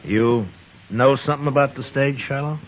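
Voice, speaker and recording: An actor playing a calm, laconic, dry-witted US marshal in an old radio western. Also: male voice, medium pitch, moderate pace, close to an everyday voice. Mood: easygoing. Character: steady, dry-witted frontier US marshal, narrating and talking. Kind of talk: 1950s radio western acting. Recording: muffled old radio broadcast, loud music.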